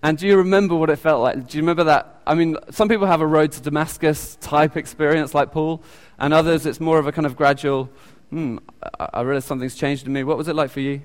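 A man with a British accent giving an informal sermon. He talks haltingly, with many ums and restarts. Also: fairly high pitch, fairly even intonation. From United Kingdom. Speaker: lay preacher. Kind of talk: informal sermon with audience questions. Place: church hall. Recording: long echoey church reverb.

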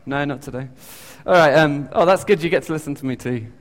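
Halting British man preaching in a church. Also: on the high side. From United Kingdom. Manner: halting, many ums and restarts. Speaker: lay preacher. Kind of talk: informal sermon with audience questions. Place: church hall. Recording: long echoey church reverb.

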